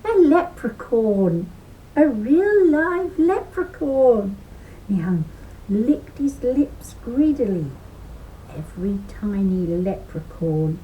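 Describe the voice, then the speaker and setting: Older woman reading slowly, mellow voice. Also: medium pitch, slight background noise, low-quality recording. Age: 60s or older. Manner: deliberate phrasing with dramatic emphasis. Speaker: storyteller. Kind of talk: expressive children's story read aloud. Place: living room at home beside window. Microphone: webcam or laptop microphone.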